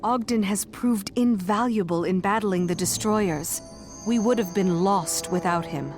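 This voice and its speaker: An actress playing a confident, courteous adventurer in a fantasy video game, composed and assured. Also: female voice, medium pitch, a little slow, darkish tone, lightly acted. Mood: respectful. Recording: game cinematic with music behind.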